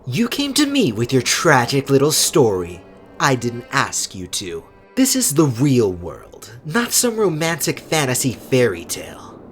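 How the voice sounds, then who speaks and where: A woman playing a cold, haughty teenage boy in an anime game fandub, measured, condescending and disdainful. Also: a bass voice, wildly swooping pitch, exaggerated voice. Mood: scornful, cutting. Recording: loud music behind. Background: music.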